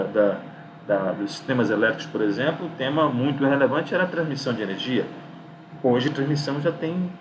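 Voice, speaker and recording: A man's voice: fairly high pitch, low-quality recording. Talkative man teaching over background noise. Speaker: lecturer giving academic writing advice. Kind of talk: informal video lesson addressing the viewer.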